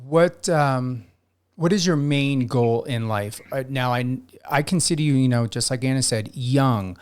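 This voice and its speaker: A man's voice: medium pitch, unhurried, smooth. Male radio host asking questions. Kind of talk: interview questions.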